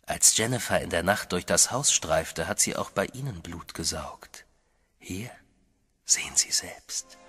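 A vampire master who commands an army of the undead. The voice is low and husky yet bright, measured and cold, dropping to a whisper for threats. Soft music plays beneath. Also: male voice, heavily acted. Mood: menacing. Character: sinister male vampire master, calm and menacing. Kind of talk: German radio horror drama.

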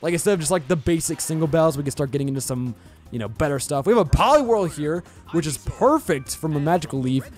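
A young man with a Southern US accent, rambling excitedly and casually as he commentates his Let's Play at home. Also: on the high side, fast talker. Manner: chatty, rambling, excitable, says bro and yo. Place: home recording setup with webcam. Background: faint game music.

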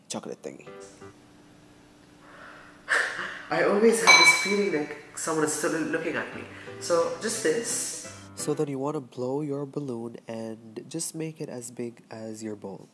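A man's voice: medium pitch, bright, forceful tone, heavy reverb. A teenage boy from Dubai with an Arabic accent, rambling goofily through a casual do-it-yourself vlog. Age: teens. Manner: goofy, rambling, self-mocking. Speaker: YouTuber. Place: small room, indoors. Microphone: camera mic at close range.

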